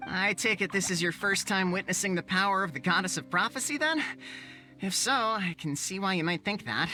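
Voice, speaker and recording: A courteous but easily exasperated townsperson in a fantasy game. The voice is bright and mid-pitched, with theatrical, lively swings. Faint music runs underneath. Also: female voice. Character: dramatic, polite, exasperated townsperson of a fantasy world. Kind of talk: video game voice acting.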